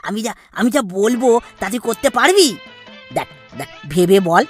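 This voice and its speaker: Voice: medium pitch, expressive, unhurried, lightly acted. A performer voicing a sly fake holy man in a comedy cartoon, pompous and coaxing. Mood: scheming. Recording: music behind the voice.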